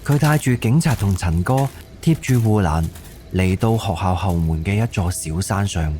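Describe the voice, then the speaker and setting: A man's voice: sits low, lively intonation, moderate pace, dark tone, a little hiss or hum. A man with a Cantonese accent narrating a scripted story for an audio drama.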